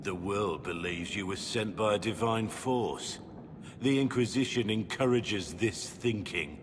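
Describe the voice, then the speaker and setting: An actor playing a gruff, guilt-ridden soldier in a video game, speaking gravely and deliberately with bitterness. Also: male voice, fairly low pitch, close to an everyday voice. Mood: grave remorse. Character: guilt-ridden gruff soldier, disgraced male impostor warden. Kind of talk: dramatic video game acting. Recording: noisy game capture in reverberant hall.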